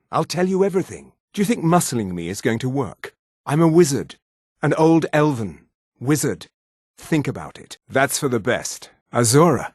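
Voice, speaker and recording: Fantasy game role: an aging elf wizard, weary and haughty, measured delivery, husky edge, dry studio sound. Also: male voice, medium pitch, dry recording. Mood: guarded, irritated, then weary, solemn. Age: older. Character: weary, guilt-haunted old male elven wizard. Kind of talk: fantasy video game dialogue.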